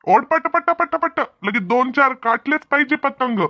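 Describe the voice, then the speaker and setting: An actor voicing a fussy, excitable village man in a comedy cartoon, urgent and repetitive, slightly muffled. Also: high voice, normal speed, no room sound, faint music behind. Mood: urgent, excited. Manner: urgent, repeats words. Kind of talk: animated comedy cartoon dialogue.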